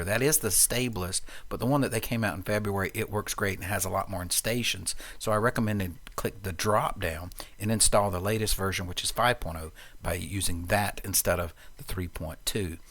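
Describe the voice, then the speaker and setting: A man narrating a software tutorial in a casual, plain-spoken way, explaining things step by step. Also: lowish voice.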